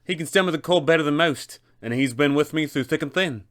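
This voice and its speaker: A man's voice: a little high, fairly quick, a bit bright, natural voice. A man voicing a cocky, scornful illusion wizard in a tabletop fantasy game, with a boastful, theatrical manner.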